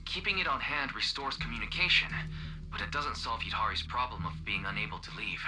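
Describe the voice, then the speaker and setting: A man's voice: fairly low pitch, a little fast, over music, lightly acted, rough recording. A man voicing a thoughtful, practical young male companion in an anime-style game.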